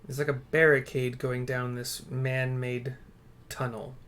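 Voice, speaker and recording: A man's voice: mid-range voice, little pitch movement, measured pace, a bit bright. A man with a mid-range voice narrates his own game playthrough. He rambles casually and drops into muttered asides. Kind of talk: casual gaming commentary.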